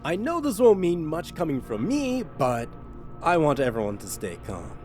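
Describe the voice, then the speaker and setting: An actor plays a proud, boastful god-king in an audio drama, formal and grandiose. His pitch swings in lively rises, and music plays clearly under him. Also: normal speed. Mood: calm.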